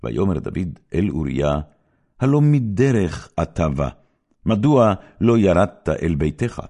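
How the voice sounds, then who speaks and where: Deep, gravelly man reading scripture dramatically. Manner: solemn, measured, expressive storyteller delivery.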